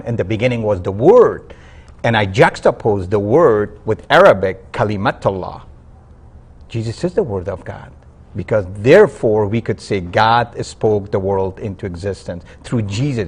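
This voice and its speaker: Guest: man from Afghanistan, low-pitched, earnest, halting delivery. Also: slightly rough. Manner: earnest, halting with fillers and restarts. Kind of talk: interview testimony.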